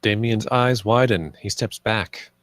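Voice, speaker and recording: A man's voice: lowish voice, measured pace, fairly bright tone, no echo. Male narrator reading screenplay directions, dry sound. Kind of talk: scripted narration at a table read.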